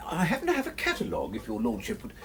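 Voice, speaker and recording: An actor playing a discreet English gentleman's manservant in a radio drama, formal, polite and deferential in manner. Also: a little high, huge pitch swings, rapid-fire, a crowd in the background. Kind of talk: BBC radio drama acting.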